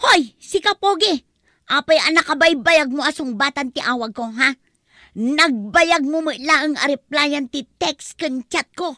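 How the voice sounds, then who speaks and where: A woman playing a jealous, suspicious girlfriend who scolds with sharp, demanding questions in an Ilocano comedy audio drama. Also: high voice, expressive, unhurried, dry recording, clean studio sound, heavily acted. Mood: scolding. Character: jealous, nagging, suspicious girlfriend, quick to accuse.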